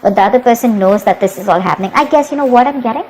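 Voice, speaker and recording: A woman's voice: on the high side, rapid-fire, a little mellow, slight background noise. A woman giving a tarot reading to her viewers, emphatic and repetitive, often checking in with "okay?". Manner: emphatic, repeats herself, keeps asking "okay?". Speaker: tarot reader.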